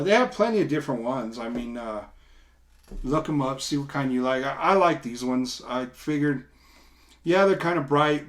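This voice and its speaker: A middle-aged man giving a casual, unscripted product demo, explaining step by step in an informal, halting way. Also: medium pitch. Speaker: product reviewer. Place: small indoor room, seated at a table.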